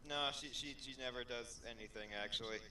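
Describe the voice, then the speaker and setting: A man's voice: mid-range voice, steady tone, fairly quick, bright, forceful tone. A man co-hosting a fan fiction reading show, trading casual sarcastic banter in a blunt, mocking manner.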